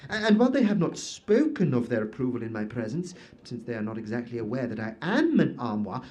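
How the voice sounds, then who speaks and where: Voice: medium pitch, very animated, fairly quick, mellow, close to an everyday voice. A performer voicing a fussy, pompous wizard who has turned himself into an armoire, stammering when flustered. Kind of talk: audio drama acting.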